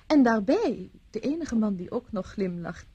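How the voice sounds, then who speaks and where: A woman's voice: mid-range voice, big pitch swings, normal speed, warm tone, husky, dull audio. An actress from the Netherlands playing a charming, teasing young society woman in a radio drama. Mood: playful. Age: young adult. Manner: teasing, polite, airy.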